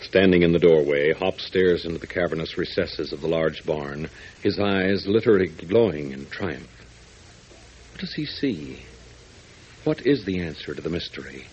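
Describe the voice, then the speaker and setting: A man's voice: low voice, lively intonation, normal speed, a little mellow, a little hiss or hum. A man narrating and announcing a 1940s radio serial in a dramatic way, speaking straight to young listeners. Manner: dramatic, addresses listeners as gang. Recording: dull old radio recording.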